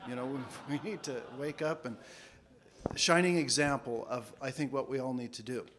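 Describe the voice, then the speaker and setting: A man addresses a conference audience, casual and a little halting. He often doubles his words. English is not his first language. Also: mid-range voice, fairly bright tone, slight background noise. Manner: casual, repeats words while speaking. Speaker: conference speaker, financial planner. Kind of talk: conference presentation. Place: conference hall.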